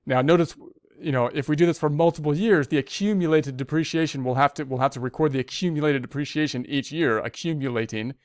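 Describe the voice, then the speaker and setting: A man's voice: a little high, fast, no room sound, clean studio sound. A man giving an informal step-by-step tutorial as an instructor, conversational and explanatory, often rephrasing his points. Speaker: accounting instructor.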